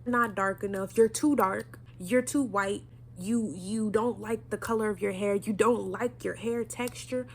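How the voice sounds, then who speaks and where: A woman's voice: medium pitch, gravelly, slight background noise. A young woman with a stuffy nose giving an earnest, preachy motivational talk straight to her viewers. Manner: earnest, preachy, direct address. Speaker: vlogger.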